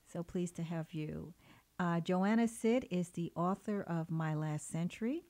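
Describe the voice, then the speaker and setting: A woman's voice: lowish voice, unhurried, a little mellow. A woman hosting a radio interview, introducing her guest with admiring words. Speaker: radio show host. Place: radio studio.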